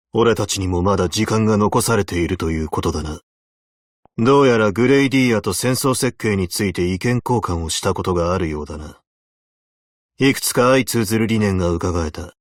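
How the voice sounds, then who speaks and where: A man's voice: on the low side, normal speed, pauses often, no room sound, clean studio sound, natural voice. A man voicing a stern, weary veteran abyssal hunter in a video game, speaking in a terse, solemn, commanding way. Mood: measured, stern. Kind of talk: Japanese video game character voice lines.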